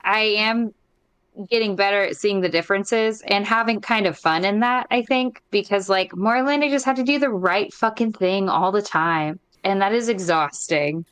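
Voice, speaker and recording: A woman's voice: medium pitch, normal speed, fairly bright tone, clean tone, no echo. A woman chatting casually and candidly as a podcast player, swearing freely. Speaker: podcast cast member and player. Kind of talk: casual chat.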